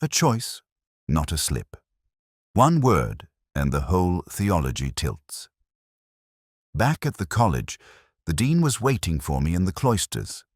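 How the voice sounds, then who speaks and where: A man playing a brooding, melancholy detective, narrating his case in a measured, literary, reflective way. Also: low-pitched, huge pitch swings, slightly rough, no room sound, studio quality, exaggerated voice. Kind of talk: scripted first-person detective narration.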